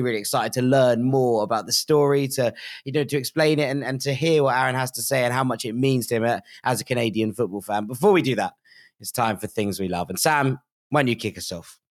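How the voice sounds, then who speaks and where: A man hosting a football podcast with a bright, chatty presenter's manner. His pitch sits in the middle, and he talks easily to his co-hosts. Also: no room sound, clean studio sound. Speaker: podcast host. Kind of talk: casual chat.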